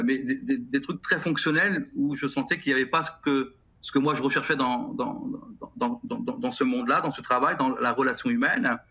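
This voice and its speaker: A middle-aged man with a French accent answers interview questions earnestly, halting and repeating words as he thinks. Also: mid-range voice, bright, forceful tone. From France. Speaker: guest, music educator. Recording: slightly dull broadcast sound.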